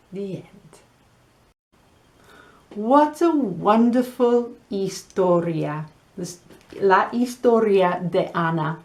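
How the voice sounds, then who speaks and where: A woman reading to children and teaching in a deliberate, teacherly way, mixing Spanish words into English. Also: medium pitch. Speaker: storyteller and language teacher host. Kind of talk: children's story reading and lesson chat.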